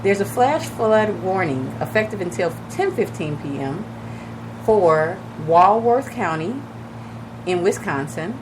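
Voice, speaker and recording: A woman radio host with a raspy, slightly shaky voice from recently losing it, chatting casually and advising listeners directly. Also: lowish voice, background noise. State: recovering from lost voice, a little shaky. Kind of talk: casual radio talk on real estate. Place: radio studio.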